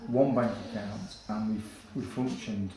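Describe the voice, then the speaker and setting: An older man from England, telling his story in an interview, hesitant and halting with many fillers. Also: on the low side, fairly even intonation, warm tone, echoey room, slight background noise, poor audio. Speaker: guest.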